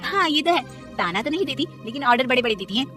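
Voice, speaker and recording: A woman's voice: sits high, lively intonation, quick pace, pushed and bright, faint music behind. A woman voicing a good-natured young daughter-in-law in a chatty, conceding manner in a story. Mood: tolerant.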